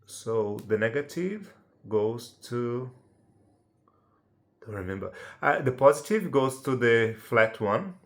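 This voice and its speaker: A man's voice: medium pitch, lively intonation, takes it slowly, long pauses, fairly bright tone, reverberant. A man from Brazil with a Brazilian Portuguese accent, speaking English as a second language, giving a casual unedited how-to walkthrough and checking in with the viewer through tag questions. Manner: chatty, checks in with tag questions like "right?" and "yeah?". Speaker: amateur how-to presenter.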